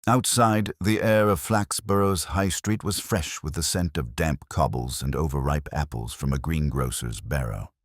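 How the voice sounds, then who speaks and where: A man narrating a literary mystery story in a measured, formal way. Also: extremely low pitch, no room sound, clean studio sound.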